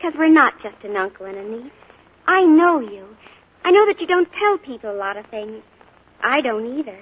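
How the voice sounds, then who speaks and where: A woman's voice: a little high, sing-song, moderate pace, phone-quality sound. An actress playing an earnest, dreamy teenage girl in a 1940s radio play, eager and breathless. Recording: scratchy 1940s radio broadcast.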